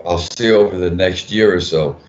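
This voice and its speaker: A man's voice: deep voice, moderate pace, a little mellow. An older man, a journal editor, talking casually on a video call panel, rambling and correcting himself as he goes. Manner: rambling, self-correcting, joking. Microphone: video call mic. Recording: dull lo-fi video call audio.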